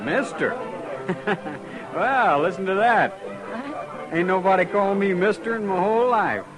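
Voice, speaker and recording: Higher-voiced, boyish young cowboy. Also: male voice, a little slow, music in the background, a crowd in the background, rough recording. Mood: boyish, smitten. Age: young adult. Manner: folksy, boyish, earnest. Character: eager, naive, hot-headed young cowboy of twenty-one. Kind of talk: 1950s Western radio drama acting.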